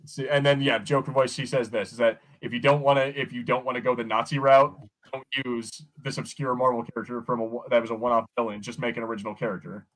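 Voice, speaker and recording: A man's voice: mid-range voice, little pitch movement, quick pace, a bit bright, rough recording. A man co-hosting a podcast in casual pop culture banter, with a sweary, sardonic way of talking. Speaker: podcast co-host.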